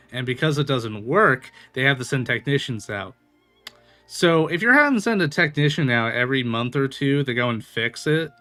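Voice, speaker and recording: Male game streamer, bright forceful voice, unhurried rambling casual chat, music playing behind. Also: medium pitch. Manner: informal, rambling, chuckling. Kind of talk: casual livestream chat over gameplay.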